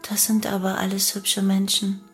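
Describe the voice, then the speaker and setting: An actress plays a young woman from a murderous cult giving her account in a radio play. She speaks in a flat, detached, matter-of-fact way. Soft music runs underneath. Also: mid-range voice, normal speed, lightly acted. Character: young female cult killer calmly confessing. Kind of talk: radio play monologue in testimony form.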